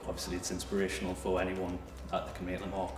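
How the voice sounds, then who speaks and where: A young man from Northumberland, a graduate trainee, answering interview questions earnestly with measured pauses. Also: low-pitched, monotone. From Northumberland, England. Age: young adult. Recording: roomy sound with music behind.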